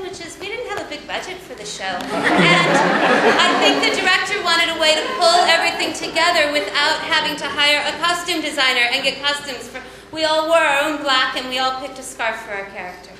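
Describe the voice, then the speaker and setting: High-voiced woman moderating a talkback. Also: expressive, brisk. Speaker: professor hosting a post-show talkback. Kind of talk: moderating audience questions. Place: college theater after a play reading. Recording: echoey hall with crowd noise.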